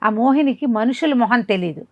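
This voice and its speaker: A woman's voice: a little high, normal speed, dry recording, muffled recording. A woman telling a folk tale conversationally to a listener, acting it out as she goes. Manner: animated retelling, acting out quoted dialogue. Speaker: storyteller.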